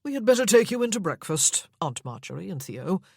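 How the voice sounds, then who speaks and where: A woman's voice: lowish voice, very animated, brisk, creaky, darkish tone, slightly rough, pitched above the performer's own voice, full character voice. A woman voicing a haughty young duke who drawls in a bored, dry manner. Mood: languid. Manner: haughty, languid.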